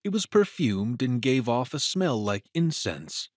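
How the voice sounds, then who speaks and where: A man narrates a dramatic story in a husky, mid-pitched voice. His delivery is very expressive, in a close, dry recording. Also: unhurried, no echo.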